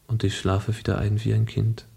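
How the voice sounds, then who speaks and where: Passionate young assassin-idealist, radio play. Breathy, rough-edged voice, declamatory and earnest. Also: male voice, on the low side, monotone, normal speed, mellow, heavily acted. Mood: brooding, solemn. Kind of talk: German literary radio play acting.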